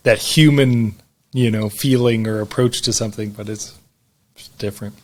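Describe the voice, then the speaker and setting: A man's voice: on the low side, steady tone, normal speed, low-quality recording. A man co-hosting a podcast, speaking casually and conversationally. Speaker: podcast co-host, pilot. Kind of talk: casual chat.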